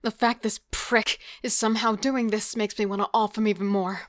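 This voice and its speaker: A woman's voice: medium pitch, fairly even intonation, moderate pace, natural voice. A woman acting as a hard-edged female killer and thief, narrating and living through her ordeal in a horror audio drama with tense, dramatic delivery. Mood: grim, angry.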